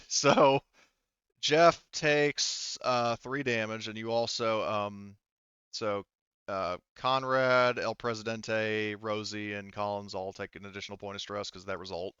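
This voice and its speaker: A man running an online tabletop roleplaying game as game master, talking haltingly as he thinks aloud through the rules. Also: lowish voice, fairly even intonation, a bit bright, clean tone, dry recording, lo-fi sound.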